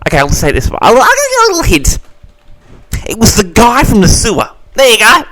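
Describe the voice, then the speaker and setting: Animated, higher-voiced man hosting a Q&A. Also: brisk, slight background noise. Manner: theatrical, mock-grand, addressing listeners as "audience". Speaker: host of an audio log answering audience questions. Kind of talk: casual audience Q&A, reading questions aloud and answering.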